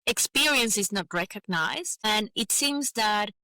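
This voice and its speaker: Venezuelan lady on a podcast, bright forward voice, dry close sound, explains stuff earnestly, says you know a lot. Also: mid-range voice, animated, unhurried, dry recording. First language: Spanish. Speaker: podcast guest, advocate for migrant professionals. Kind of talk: conversational interview.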